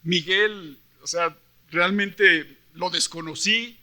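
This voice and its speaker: A male preacher with a Mexican accent and a high-pitched, very bright, forceful voice. He delivers his sermon like an animated storyteller, acting out dialogue and pressing points with rhetorical questions. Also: takes it slowly. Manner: emphatic, with rhetorical questions to the listeners. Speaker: preacher at a church convention. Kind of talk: sermon mixing Bible reading and personal stories.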